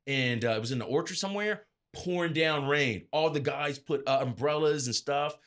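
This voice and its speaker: A man's voice: on the high side, moderate pace, fairly bright tone. A man speaking as an interview guest and event DJ, telling stories in a casual, emphatic, slangy way. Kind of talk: casual anecdotal storytelling.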